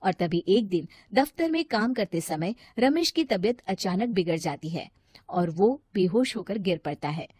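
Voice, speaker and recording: A woman's voice: mid-range voice, expressive, normal speed, a little mellow, husky, no echo, clean studio sound. A woman reading scripted story narration as the storyteller.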